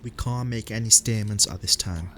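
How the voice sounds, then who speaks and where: A man playing a steady, matter-of-fact police officer in an audio drama. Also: on the low side, fairly quick, slight background noise. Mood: businesslike.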